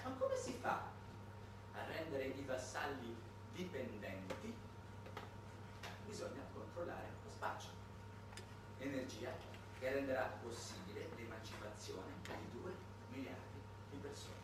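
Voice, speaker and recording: A man's voice: medium pitch, big pitch swings, a little slow, pauses often. A man delivering a satirical theatre monologue in a conversational, explanatory way, swinging into declamation and rhetorical questions. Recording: distant, very echoey, noisy live stage recording.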